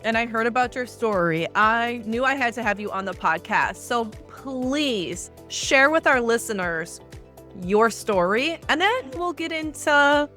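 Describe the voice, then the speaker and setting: A woman's voice: fairly high pitch, lively intonation, unhurried, bright, forceful tone, faint music behind. A woman hosting a podcast for executives, delivering an upbeat, emphatic introduction and then talking with her guest. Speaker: podcast host. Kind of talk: scripted podcast intro and interview.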